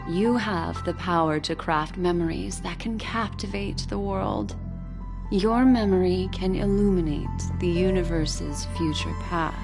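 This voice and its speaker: A mysterious, alluring woman speaks very slowly and softly in musing, seductive tones. Clear music plays under her video game lines. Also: lowish voice. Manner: slow, soft, seductive and philosophical. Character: mysterious alluring woman, memory manipulator, enigmatic. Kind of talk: game voice acting.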